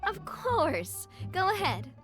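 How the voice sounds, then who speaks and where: A young woman who leads and looks after her people in a video game. Her voice sits high, with a composed, caring manner. Faint music plays behind her. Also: wildly swooping pitch, takes it slowly, fairly bright tone, exaggerated voice. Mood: warm, reassuring. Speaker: boss.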